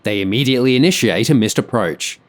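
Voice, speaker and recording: A man's voice: medium pitch, lively intonation, fast. A man reading scripted explanatory narration about an aviation incident.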